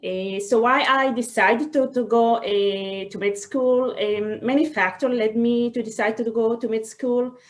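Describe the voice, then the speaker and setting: A woman, giving a personal talk in a webinar in a halting, hesitant way with frequent pauses. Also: on the high side, steady tone. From Israel. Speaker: guest speaker, doctor. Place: online video call.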